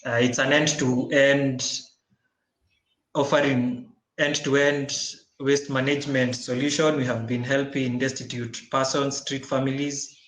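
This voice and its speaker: A man from Kenya speaking as a panelist and social entrepreneur, halting, with many restarts and formal wording. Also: mid-range voice, level tone, fairly bright tone, echoey room, poor audio. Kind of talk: conference panel talk.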